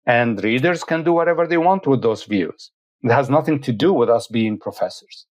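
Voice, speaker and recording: A man's voice: medium pitch, animated, normal speed. A man, a professor whose first language is Arabic, firmly explaining his views as a guest in an interview. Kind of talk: interview answer.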